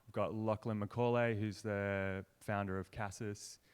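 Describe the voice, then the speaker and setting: A man's voice: fairly low pitch, flat delivery, measured pace, clear, resonant tone. A man, explaining his work casually and thoughtfully as an interview guest. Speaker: theatre maker being interviewed.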